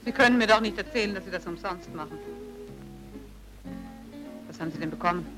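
A woman's voice: fairly low pitch, brisk, music in the background, lightly acted, low-quality recording. Woman acting a scornful, cutting young woman. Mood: scornful, mocking. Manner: cutting, mocking. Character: proud, scornful young woman, bitterly loyal. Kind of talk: radio drama acting.

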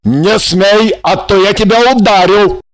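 An actor playing a young, earnest and exasperated lover in an audiobook drama, speaking emphatically. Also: male voice, mid-range voice, expressive. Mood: angry. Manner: emphatic, drawn-out, pleading then threatening. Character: young earnest, honourable, exasperated male lover, soon a father.

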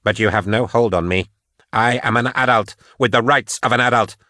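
A man voicing a defiant, hot-tempered young woman in an audiobook, with sharp and insistent delivery. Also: medium pitch, moderate pace, dry recording, clean studio sound, natural voice. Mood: furious, defiant. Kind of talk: audiobook character acting.

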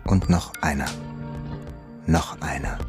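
A sombre male narration for an eerie radio drama. The voice is very deep and moves very slowly, in sparse, brooding phrases. Music plays clearly underneath. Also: exaggerated voice.

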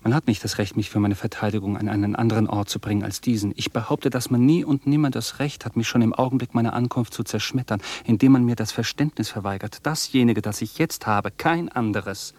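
A man's voice: medium pitch, steady tone, fairly quick, hardly any pauses, a little mellow, no echo, natural voice. An actor playing an obsessive, defiant, imperious rich man in a German radio drama, declaiming and repeating his commands.

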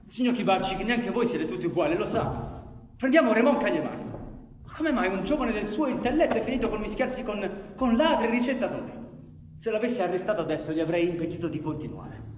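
A man's voice: sits high, lively intonation, fairly quick, slight background noise. A man playing an earnest, courteous police commissioner in radio theatre, speaking formally, articulately and persuasively. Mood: earnest, insistent. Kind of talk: Italian radio theatre acting. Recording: echoey hall with long reverb.

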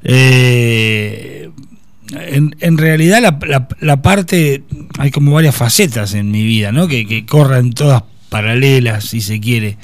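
Man in his fifties, halting Argentine speech. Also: mid-range voice, no room sound. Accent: Argentine Spanish. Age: 50s. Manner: hesitant, many restarts. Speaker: guest. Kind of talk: casual interview.

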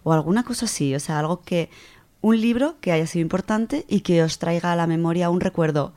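A woman's voice: on the low side, a little fast, dark tone. A woman with a Spanish accent from Spain, chatting casually as a contributor to a radio book segment. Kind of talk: casual chat.

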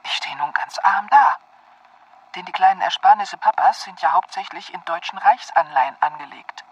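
A husky male voice, slightly high and set back from the microphone. He plays a grieving letter writer in a radio drama, solemn and lamenting. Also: normal speed, a bit bright, no room sound, recorded from a distance, low-quality recording. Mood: sorrowful.

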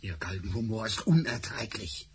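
A man's voice: on the low side, animated, normal speed, natural voice. Male actor as grim scientist, precise, prickly, biting, radio drama, faint hum behind. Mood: grim, annoyed. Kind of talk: German radio drama acting.

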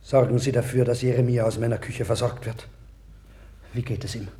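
A man's voice: mid-range voice, fairly even intonation, brisk, warm tone, close to an everyday voice. An actor playing a troubled, wavering king of ancient Judah in a formal 1960s radio play style. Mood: agitated. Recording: worn vinyl record with clear noise.

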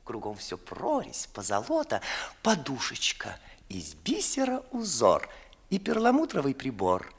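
Вкрадчивого секретаря с сипловатым певучим голосом. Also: male voice, mid-range voice, huge pitch swings, a little slow, vocal fry, slightly rough, heavily acted. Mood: wheedling, amorous. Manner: soft-spoken, ingratiating, mincing. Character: Molchalin, obsequious, sly young secretary. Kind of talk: 1950s theatrical radio drama in verse.

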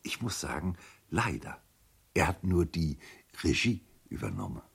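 An actor playing a harmless, deferential gentleman in a radio play, courteous and hesitant, with a raspy, gravelly voice. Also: sits low, very animated, unhurried, exaggerated voice. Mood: deferential, regretful. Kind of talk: German radio play acting.

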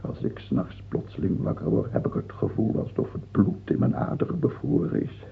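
Casting: ailing despot king, scornful and grandiose, wide swings in pitch, old lo-fi radio drama sound. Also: expressive, moderate pace, creaky, faint music behind. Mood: fearful, brooding. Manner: volatile, swinging from murmured brooding to barked scorn. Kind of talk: theatrical radio drama acting. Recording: dull, lo-fi old radio recording.

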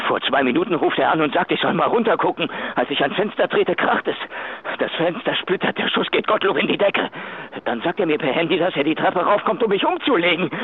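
A man acting in a German radio drama as a frightened lover, pleading and stammering for his life. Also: high voice. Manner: pleading, urgent, stammering under threat. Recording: tinny phone-like sound with clear noise.

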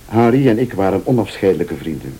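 An actor playing a mysterious, composed late-night visitor in a radio thriller, speaking formally and pointedly with quiet menace. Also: male voice, low voice, big pitch swings, fast talker, a little hiss or hum. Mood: grave, confessional. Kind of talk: Flemish radio thriller acting.

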